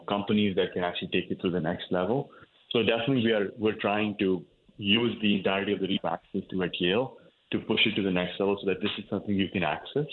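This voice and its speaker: A man's voice: on the low side, phone-quality sound. A man from India, a cardiologist, explaining his work in an interview, with many fillers and restarts. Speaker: cardiologist guest. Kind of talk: interview answers.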